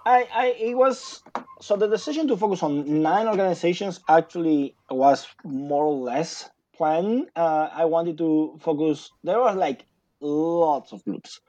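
A man's voice: on the high side, measured pace, warm tone, dry recording, lo-fi sound. A man from Argentina, a guest in a casual interview, talking in a rambling way with many fillers and restarts. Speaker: guest author, sociologist.

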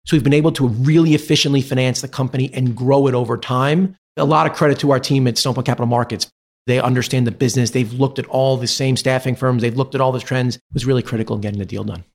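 Male interview guest, finance executive type. Measured, articulate, diplomatic explanations at a conversational mid pitch. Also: darkish tone. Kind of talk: business interview, explanatory answers.

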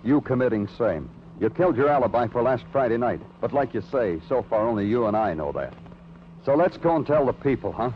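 An actor playing a dry, patient, world-weary police detective in an old radio noir, speaking in a laconic deadpan. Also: male voice, medium pitch, a little fast, close to an everyday voice. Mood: grimly accusing. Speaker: lead actor and narrator. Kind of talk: 1940s-50s radio noir acting. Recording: muffled, noisy old radio recording.

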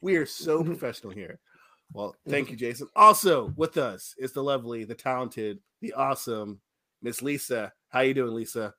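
A man's voice: mid-range voice, lively intonation, moderate pace, pushed and bright. A man hosting a casual comedy podcast, joking and poking fun at himself in a playful way. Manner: joking, self-deprecating, playful. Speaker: podcast host.

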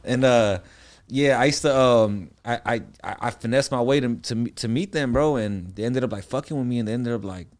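A man's voice: mid-range voice, brisk. A man in his late twenties, a music producer guest telling stories in loose, rambling slang with frequent laughter. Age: 20s. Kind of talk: casual podcast interview.

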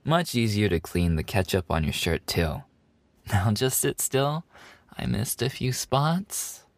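Man acting as cutesy animal-boy maid, clingy, teasing, giggly, unhurried, close dry sound. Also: lowish voice, expressive, dry recording. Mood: playful. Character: playful, affectionate young animal-boy maid café server. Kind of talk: roleplay ASMR acting.

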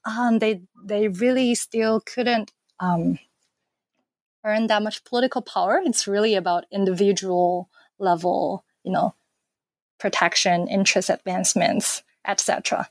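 A woman's voice: medium pitch, fairly even intonation, unhurried, no echo. Woman from China, academic guest, thoughtful with fillers, dry close sound. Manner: thoughtful, many fillers and restarts. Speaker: author and political scientist. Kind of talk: academic interview answer.

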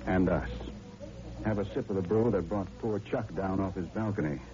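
A man's voice: low-pitched, normal speed, natural voice. A man acting as a wry, world-weary charmer in an old radio drama, easygoing and sardonic. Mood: sardonic, resigned. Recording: muffled vintage radio recording.

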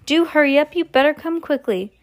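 A woman's voice: high-pitched, lively intonation, normal speed, voice pushed up. A woman voicing a chatty, unflappable grandmother with a breezy, polite and composed manner, in a storybook reading. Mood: urgent.

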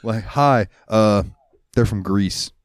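Low-ish guy rambling on a podcast, cusses a lot, dry close sound. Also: takes it slowly, no echo. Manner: rambling storyteller, swears freely. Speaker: podcast co-host. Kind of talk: casual chat. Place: US South, central Florida.

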